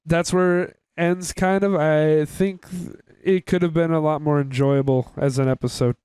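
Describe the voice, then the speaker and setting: A man's voice: a little high, fairly even intonation, moderate pace, a little mellow, clean tone, dry recording, studio quality. A young man co-hosting a podcast, giving a casual, candid review with informal, sweary phrasing. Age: young adult. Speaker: podcast co-host.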